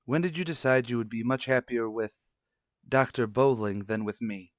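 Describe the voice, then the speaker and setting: A man playing a stern, distrustful husband and father in an old-fashioned radio soap, speaking in a formal, measured, argumentative way. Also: mid-range voice, dry recording, studio quality, natural voice. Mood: accusing.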